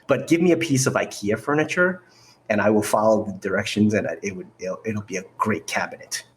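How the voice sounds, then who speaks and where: A man's voice: lowish voice, fairly quick. A man chatting as a podcast guest, playful and self-deprecating. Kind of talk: casual chat.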